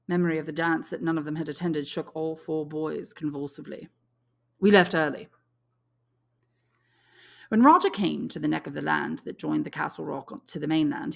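A woman's voice: low-pitched, vocal fry, fairly bright tone. A woman reading a novel aloud as scripted audiobook narration. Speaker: English teacher, audiobook reader.

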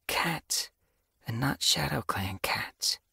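Someone voicing a sharp-tongued young she-cat, raised pitch. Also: lively intonation, normal speed, no room sound. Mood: wary, hushed. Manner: dry, scolding.

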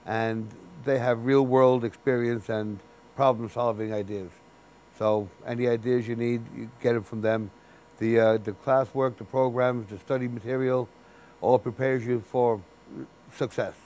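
A man in his fifties, a building's chief engineer, explaining his work in a sit-down interview in a basement boiler room, practical and unhurried. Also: mid-range voice, fairly even intonation, darkish tone. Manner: plain-spoken, practical, unhurried with restarts. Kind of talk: interview for a training video.

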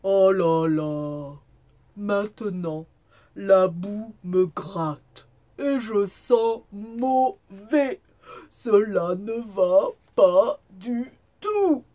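Woman playing a loud, dramatic storybook wolf, drawn-out delivery. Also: mid-range voice, animated, voice pushed up. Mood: weary, disgusted. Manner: loud, exclamatory, drawn-out theatrical complaining. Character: big grumpy, vain male wolf, dramatically disgusted. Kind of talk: children's storybook character voice.

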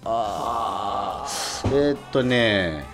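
A man's voice: fairly low pitch, extremely slow. A man hosting a casual radio chat, asking questions and reacting with frequent interjections. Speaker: radio show host. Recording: music playing behind the talk. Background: background music.

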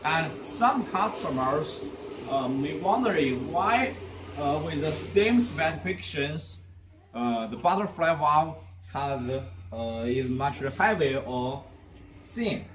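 A man's voice: fairly high pitch, expressive, slow, background noise. A young man who is not an English speaker, guiding a tour of a factory warehouse in English, hesitant and full of "uh" fillers and restarts. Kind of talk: walking factory tour presentation. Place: large indoor factory warehouse.

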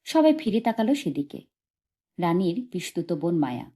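A woman reading literary fiction aloud as an audiobook, in expressive scripted narration. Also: fairly low pitch, moderate pace. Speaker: audiobook reader.

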